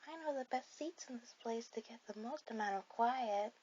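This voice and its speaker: A woman voicing a shy, sweet, bookish girl on a first date in an ASMR roleplay, speaking softly and hesitantly to the listener. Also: a little high, dull audio, lightly acted. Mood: touched, eager. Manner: soft, hesitant, halting delivery.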